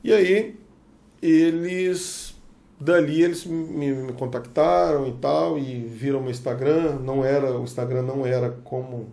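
A chef from Espírito Santo with a Brazilian Portuguese accent from that state, telling a story as a podcast guest and acting out the dialogue. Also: male voice, mid-range voice, unhurried, creaky. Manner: lively storyteller who acts out the dialogue. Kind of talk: casual anecdote telling.

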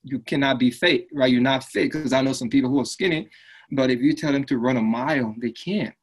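A man's voice: medium pitch, brisk, husky. A man from Liberia, speaking earnestly and upbeat as an interview guest, often tagging lines with "right?". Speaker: fitness business owner, guest. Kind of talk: casual interview conversation.

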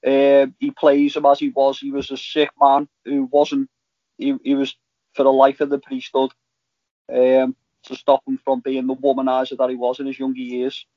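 A man talks casually as a podcast co-host, in a halting, rambling way. The voice is mid-pitched and very dry, on slightly dull audio. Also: monotone, dark tone, dry recording. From Liverpool, England. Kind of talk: casual chat reviewing TV shows.

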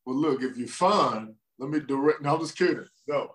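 A man's voice: mid-range voice, expressive, fairly bright tone, a little hiss or hum. A man whose first language is Spanish, joking around as a podcast guest and teasing his hosts. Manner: teasing, playful, quick comic asides.

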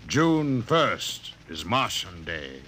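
A secretive formal agent, slow precise speech, bright tense tone, lively pitch, old radio hiss. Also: male voice, heavily acted. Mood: measured. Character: mysterious formal male client agent, secretive. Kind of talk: 1950s radio drama acting.

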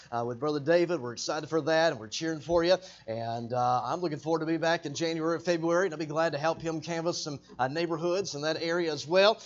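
A traveling evangelist with a high-pitched voice preaches from the pulpit. He climbs from friendly talk to loud, drawn-out, emphatic lines. The recording sounds dull and lo-fi, like a church tape. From Texas. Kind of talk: sermon. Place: church sanctuary.

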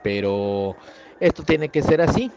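A man's voice: fairly high pitch, lively intonation, unhurried, a little mellow, muffled recording. A male podcast host with a Mexican accent, giving a casual, chatty introduction with slangy asides.

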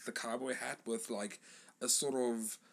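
A woman's voice: very deep voice, fairly even intonation, measured pace, breathy edge. A woman in casual chat about music, laughing often, swearing freely and trailing off mid-sentence.

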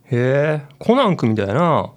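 An actor with a Kansai accent playing a casual, blunt convenience store clerk in a radio drama. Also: male voice, mid-range voice, sing-song, moderate pace, lightly acted. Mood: chatty.

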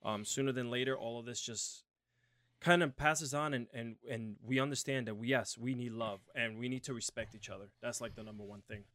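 A man from Puerto Rico co-hosting a casual chat, speaking in an earnest, animated way. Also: medium pitch, fairly quick, dry recording. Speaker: podcast co-host.

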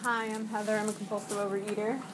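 A woman's voice: medium pitch, little pitch movement, quick pace, heavy background noise. A woman around forty, slightly sniffly from a cold, giving a personal share at a recovery meeting in a room full of people. She stumbles through restarts and fillers and laughs often.

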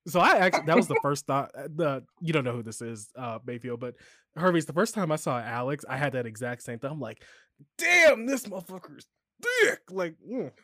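A man from Chicago chatting as a podcast host, loose and profane, his pitch shooting up when he gets worked up. The sound is close and dry, with no room around it. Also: moderate pace, no room sound. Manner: animated, swearing, jumps to high pitch when riled. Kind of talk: casual chat.

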